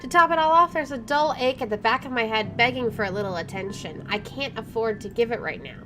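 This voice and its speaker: A woman's voice: on the high side, fairly quick, noisy background. A woman doing a let's play, reading game narration aloud and adding informal, reactive commentary. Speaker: game streamer, let's player. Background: game music.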